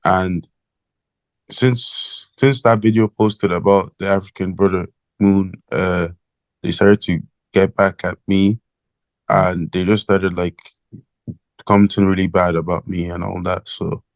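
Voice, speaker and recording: A teenage man from Ireland with an Irish accent, calling in as an interview guest over the phone and talking in a hesitant, halting way with many fillers. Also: low-pitched, fairly even intonation, no echo. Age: teens. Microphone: phone call.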